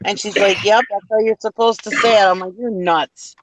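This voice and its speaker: A man's voice: high-pitched, fairly even intonation, moderate pace, background noise. A man chatting casually, recounting anecdotes with amusement. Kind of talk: casual group chat.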